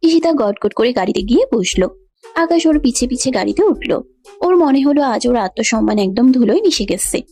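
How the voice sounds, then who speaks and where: A woman's voice: on the high side, expressive, normal speed, dark tone, slightly rough. A woman narrating a scripted romantic story.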